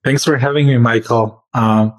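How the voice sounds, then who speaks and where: A man's voice: medium pitch, fairly even intonation, normal speed, poor audio. A man who grew up in Serbia answers interview questions as a company founder, speaking earnestly with frequent fillers and shifts in pace.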